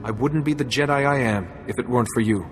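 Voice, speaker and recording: A man playing a dutiful, selfless young Jedi hero in a video game, calm, serious and formal. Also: medium pitch, fast, faint music behind, lightly acted. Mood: reassuring.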